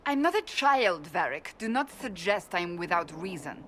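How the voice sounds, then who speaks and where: A woman's voice: lowish voice, big pitch swings, normal speed, fairly bright tone, a little hiss or hum. An actress voicing a stern woman warrior in a video game, flustered and defensive. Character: stern woman warrior, secretly a romance reader.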